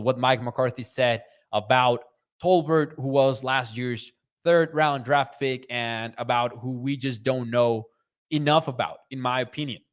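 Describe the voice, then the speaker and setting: A young man from Mexico with a Mexican Spanish accent, hosting a live sports talk stream and reading viewer comments aloud in a conversational way. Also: medium pitch. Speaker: podcast host.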